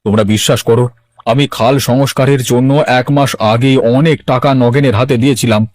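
A man's voice: medium pitch, fairly even intonation, a little fast, a little mellow, dry recording, lightly acted. Man acting a stubborn village headman, close dry sound. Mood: shaken. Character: gullible, stubborn married village headman hungry for wealth. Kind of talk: Bengali cartoon voice acting.

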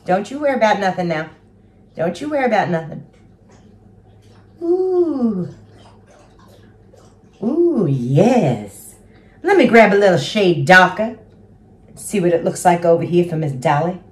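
A woman's voice: lowish voice, sing-song, a little slow, long pauses. A woman doing a gushing superfan housewife. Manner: gushing, chatty, talks to herself while doing makeup. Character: giddy Southern housewife and mother of seven, superfan, chatty. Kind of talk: comic character monologue.

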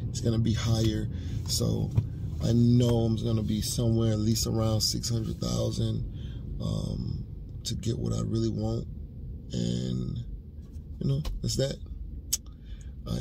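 A man's voice: medium pitch, gravelly, mellow, a little hiss or hum. A man in his thirties in a parked car, talking casually to a dashboard camera and thinking aloud with fillers and restarts. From United States. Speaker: vlogger. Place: parked car, seated inside. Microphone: camera on dashboard, facing him.

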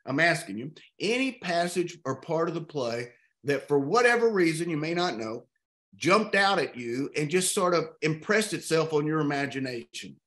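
A man's voice: on the high side, fairly bright tone. Thoughtful man from East Texas on a video call. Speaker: literature discussion leader. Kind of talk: animated literary discussion. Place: home room with art on walls.

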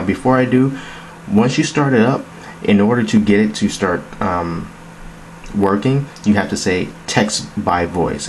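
A man with a low-pitched voice walks through an app demo. He talks informally and explains each step conversationally. The recording is indoors with a light layer of background noise. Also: low-quality recording. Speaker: app demonstrator. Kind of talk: casual tech demo narration. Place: indoors, phone demo on a wooden table.

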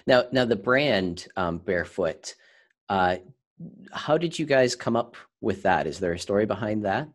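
A man hosting a casual interview, repeating words haltingly as he forms his sentences. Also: on the low side, lively intonation. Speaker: podcast host, interviewer.

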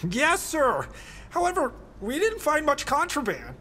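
A woman's voice: high voice, huge pitch swings, measured pace, fairly bright tone, slight background noise, exaggerated voice. A woman playing a nervous, deferential police subordinate in a video game, speaking hesitantly to a superior. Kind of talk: cinematic video game acting.

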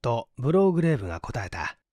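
Guy reading a mystery novel out loud, dramatic lively intonation, dry close recording. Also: medium pitch, fast, no echo. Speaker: audiobook narrator. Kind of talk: dramatic literary narration of a mystery story.

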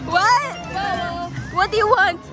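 A woman's voice: extremely high pitch, slow pace. Giggly woman vlogging outdoors. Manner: giggly, exclaiming. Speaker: vlog host. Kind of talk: casual travel vlog. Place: outdoor mall plaza. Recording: loud music and crowd behind.

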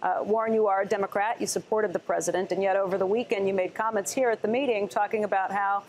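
A middle-aged woman interviewing guests for TV news, brisk and steering the talk. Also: mid-range voice, fairly even intonation, darkish tone. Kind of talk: broadcast interview questions. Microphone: lapel mic.

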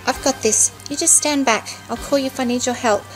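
A woman voicing a brave, protective queen who is a mother, calm and reassuring. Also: high-pitched, brisk, music in the background, pitched below the performer's own voice, natural voice, low-quality recording.

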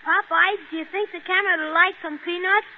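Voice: extremely high pitch, steady tone, brisk, a little hiss or hum. A performer playing an eager, curious, kind young boy in 1930s radio comedy. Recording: tinny 1930s radio recording.

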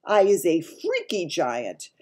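A woman's voice: fairly low pitch, huge pitch swings, slow, a little mellow, husky, heavily acted. A woman voicing a big male giant from a children's storybook, blustery and emphatic, with muddled grammar and invented words. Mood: indignant, proud. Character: big friendly giant man, blustery, mangles his words.

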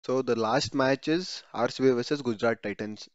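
A man's voice: mid-range voice, moderate pace, no room sound. A man narrating a screen-recorded tutorial in a conversational way, often repeating words and correcting himself mid-word. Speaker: YouTube tutorial host. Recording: dry, slightly dull home voice-over.

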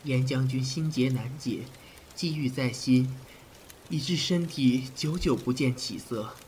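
An actor playing a frank, deferential physician in a period audio drama, speaking formally and in measured phrases. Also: male voice, medium pitch, darkish tone, slight background noise, close to an everyday voice. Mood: grave. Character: frank, deferential male physician of ancient China.

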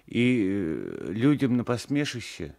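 Old male peasant for radio drama: low, swooping pitch, hesitant, plaintive, tipsy, simple. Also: wildly swooping pitch, slow, vocal fry, mellow, heavily acted. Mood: bitter, resigned. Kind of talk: Soviet-era 1980s radio play acting.

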